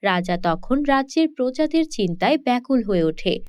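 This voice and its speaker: A woman's voice: fairly high pitch, animated, normal speed, a little mellow, smooth, dry recording. A woman narrating a scripted fairy tale for children.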